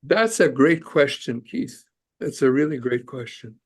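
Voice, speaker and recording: A man's voice: medium pitch, lively intonation, measured pace, slightly rough. An older Canadian-born man, an immigration lawyer and author, talking earnestly as a podcast guest with many fillers and restarts. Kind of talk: casual interview conversation.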